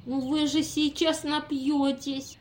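A woman's voice: sits high, level tone, slow, darkish tone, a little hiss or hum. A woman playing an impatient, businesslike experimenter in an amateur audio play, giving instructions with exasperation. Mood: exasperated.

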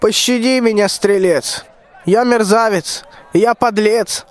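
A young man in a radio play acting a cowardly, wheedling, self-pitying old tsar in slow, theatrical, plaintive rhymed verse. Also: high-pitched. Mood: pleading. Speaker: school student actor.

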